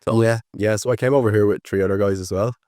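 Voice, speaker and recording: A man in his twenties with an Irish accent, chatting as a podcast guest in a relaxed, rambling way with plenty of fillers. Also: lowish voice, fast talker, dry recording, clean studio sound. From Ireland. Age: 20s. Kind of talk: casual interview chat.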